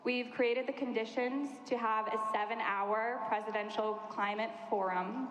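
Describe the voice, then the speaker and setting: A woman's voice: on the high side, steady tone, a little slow, noisy background. Young woman speaking in a reverberant hall. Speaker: youth climate organizer. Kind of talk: prepared public remarks. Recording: echoey hall with long reverb.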